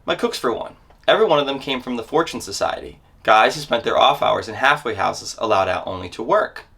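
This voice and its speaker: A man's voice: mid-range voice, lively intonation, fairly quick, vocal fry, sharp tone, husky. A man reading a memoir as a dramatic monologue, telling the story in scripted first person with theatrical emphasis.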